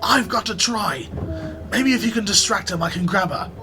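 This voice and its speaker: A man's voice: high-pitched, lively intonation, moderate pace, music in the background. A man playing a weary, determined maintenance worker with a British accent, earnest and plain-spoken in a radio drama. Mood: urgent, pleading.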